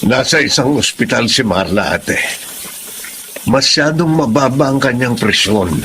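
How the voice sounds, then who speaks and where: An actor playing a middle-aged, protective, kindhearted uncle with urgent, concerned radio melodrama delivery. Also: male voice, medium pitch, animated, a little slow, sharp tone, slight background noise. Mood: grave, reproachful. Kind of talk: Tagalog radio melodrama acting.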